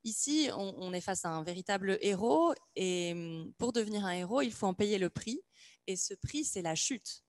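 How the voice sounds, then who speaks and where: A woman interpreting aloud in a measured way, pausing between phrases as she renders them. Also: medium pitch, animated, no room sound. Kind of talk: consecutive interpreting, unscripted.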